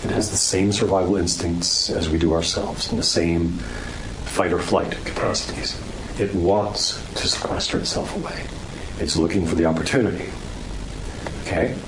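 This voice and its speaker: An actor playing a grave man lecturing deliberately and didactically in short phrases in a horror audio drama, over background noise. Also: sits low. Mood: grave, instructive. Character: grave man explaining malevolent spirits like a lecturer.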